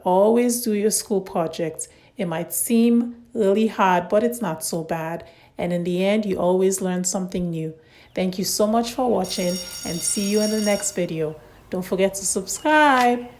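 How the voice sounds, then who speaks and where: A woman hosting and narrating a read-aloud story for young children in simple, deliberate phrasing. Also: mid-range voice, darkish tone, slight background noise.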